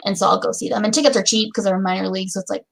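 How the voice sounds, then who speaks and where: A woman's voice: mid-range voice, fairly even intonation, racing, vocal fry. An American woman hosting a casual livestream chat, rambling along and amused at herself. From Utah, United States. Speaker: livestream host.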